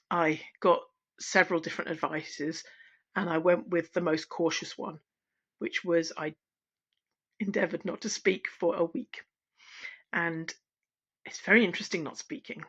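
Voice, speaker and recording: Husky woman musing on her voice. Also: on the low side, fairly bright tone. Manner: candid, reflective, with frequent restarts and pauses. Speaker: singing and voice teacher. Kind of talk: unscripted personal vlog. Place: at home, wrapped in a blanket. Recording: dull, lo-fi sound.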